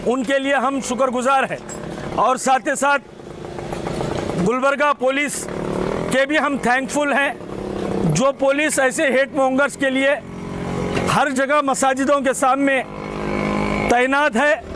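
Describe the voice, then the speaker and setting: A man's voice: very high-pitched, slow pace, pauses often, noisy background. A man delivers an impassioned, emphatic public statement in short declamatory phrases, as a community spokesman.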